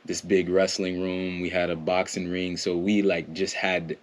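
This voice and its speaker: A man's voice: sits low, little pitch movement, measured pace. An American man in a casual podcast interview, a performance coach talking in a rambling way, with lots of fillers, restarts and laughs. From United States.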